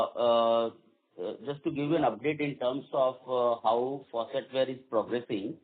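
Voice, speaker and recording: A man with an Indian accent answering as a company executive on an earnings call, halting and repeating phrases as he goes. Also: mid-range voice. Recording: dull lo-fi conference call audio.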